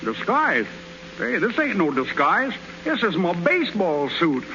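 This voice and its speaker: A boastful young ballplayer who always has an excuse, his voice high, bright and bouncing all over. He talks with a cocky country-boy swagger. It comes through a muffled old 1930s radio broadcast. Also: big pitch swings, unhurried, soft background music, full character voice. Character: boastful, excuse-making rookie baseball pitcher, young man. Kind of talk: 1930s radio drama acting.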